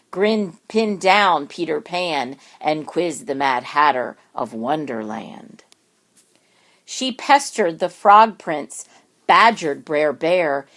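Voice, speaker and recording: A woman's voice: fairly low pitch, a bit bright. A woman reading a rhyming children's picture book aloud, expressively and with dramatic emphasis on words. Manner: deliberate, dramatic word emphasis.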